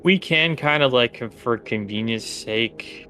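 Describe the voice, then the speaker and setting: A man narrating a tabletop game as game master, halting as he thinks aloud. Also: medium pitch, bright, forceful tone, soft background music.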